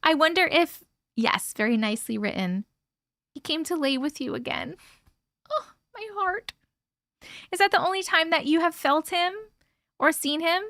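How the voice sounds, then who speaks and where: Bright high-voiced chatty woman host. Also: moderate pace, pauses often, no room sound, clean studio sound. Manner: chatty, effusive, talks to chat viewers. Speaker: livestream host reading viewer stories. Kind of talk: casual live chat and reading aloud. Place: at home with her cat.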